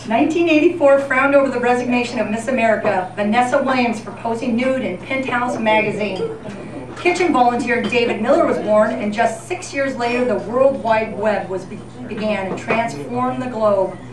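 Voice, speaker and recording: A woman's voice: a little high, normal speed, a crowd in the background. A woman reading scripted, nostalgic historical narration as a presenter at a community appreciation event. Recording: echoey room, distant mic, clear background noise.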